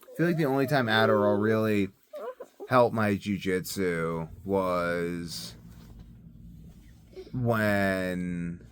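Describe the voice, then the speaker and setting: A man's voice: fairly low pitch, slow pace, long pauses. A man rambling casually through a personal video diary, hesitant and thinking aloud as he goes. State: sleepy. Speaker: vlogger. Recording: clear background noise.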